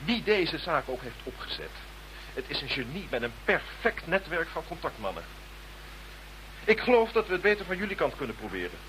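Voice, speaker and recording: Supporting role: baffled, weary investigator, lightish voice, radio play with slight noise. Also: male voice, fairly high pitch, sing-song, brisk, fairly bright tone, poor audio. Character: male police investigator, weary, baffled but dogged. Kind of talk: scripted radio play acting. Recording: faint hum and noise.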